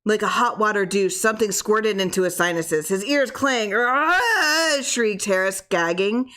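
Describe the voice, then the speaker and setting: A woman reading a horror story as narrator, dramatic, expressive and suspenseful. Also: mid-range voice, normal speed.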